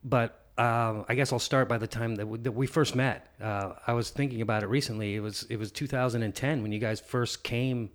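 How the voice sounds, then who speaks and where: A man hosting a podcast, interviewing a guest in relaxed casual chat with many fillers and restarts. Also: mid-range voice, brisk, few pauses. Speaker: podcast host.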